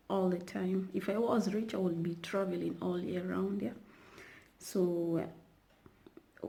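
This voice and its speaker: Slow, gravelly-voiced woman from Kenya. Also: on the low side, warm tone. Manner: chatty, rambling with many fillers and restarts. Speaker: YouTuber. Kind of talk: casual vlog talk to camera.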